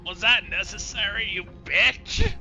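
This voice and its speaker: A man's voice: high voice, unhurried, pushed and bright, muffled recording, soft background music. A man doing casual, sweary let's play commentary, reacting with exasperation as he streams. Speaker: video game streamer.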